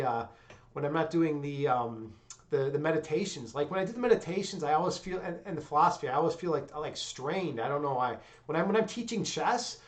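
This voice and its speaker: Excitable, high-voiced young streamer dude. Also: male voice. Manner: loose, profane, excitable, lots of "dude" and "man". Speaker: livestream host. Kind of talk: casual livestream chat with viewers.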